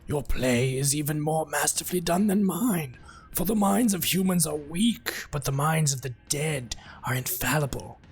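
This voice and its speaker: A man voicing an enigmatic hooded leper, a slow, formal cosmic gambler in a dark fantasy lore reading. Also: a little high, expressive, voice pushed up. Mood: bitter, defiant. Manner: slow, deliberate, grandly formal. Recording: background music under the voice.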